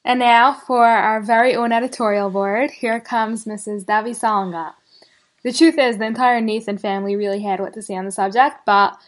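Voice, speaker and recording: A woman hosting a show, introducing guests and reading listener messages with emphasis. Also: medium pitch. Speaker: podcast host.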